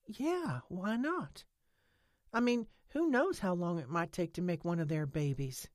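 A woman voicing a stubborn, excitable rural woman who talks in country dialect and rambles defensively. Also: lowish voice, unhurried, no room sound, studio quality. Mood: earnest.

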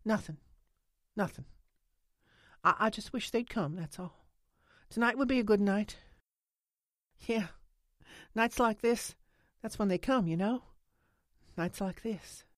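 A woman's voice: medium pitch, dry recording, studio quality, voice pushed up, natural voice. Woman acting an anxious, defiant, secretive country woman. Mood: yearning. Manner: rural dialect, halting and insistent. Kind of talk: audiobook character acting.

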